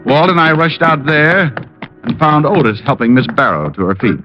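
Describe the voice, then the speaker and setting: A man's voice: on the high side, big pitch swings, moderate pace. A man playing a wisecracking, cool-headed private detective in vintage radio drama style. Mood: urgent narrating. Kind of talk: 1940s-50s radio drama acting with narration. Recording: muffled old radio recording with music.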